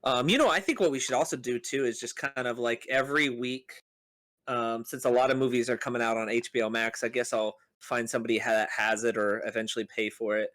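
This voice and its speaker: A man's voice: medium pitch, steady tone, a little fast, fairly bright tone. A man hosting a podcast in a casual, conversational style. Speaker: podcast host. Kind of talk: casual chat.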